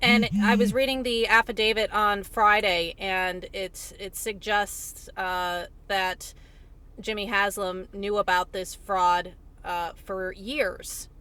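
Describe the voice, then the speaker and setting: A woman working as a television reporter, asking polite, somewhat halting questions in a phone interview. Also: medium pitch, level tone, bright, forceful tone.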